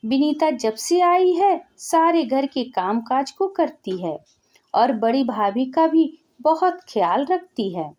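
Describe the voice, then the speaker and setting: A woman's voice: high-pitched, animated, slow, darkish tone. A woman storyteller voicing a stern widowed father who lectures and reproves his married daughter. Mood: firm admonishing. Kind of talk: Hindi family storytelling.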